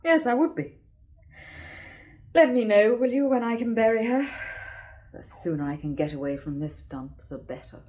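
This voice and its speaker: A woman's voice: on the high side, animated, moderate pace, long pauses, a little mellow, natural voice. An actress playing a frank, sardonic woman questioned about her dead sister in a radio drama. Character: blunt worldly woman, sister of murdered woman.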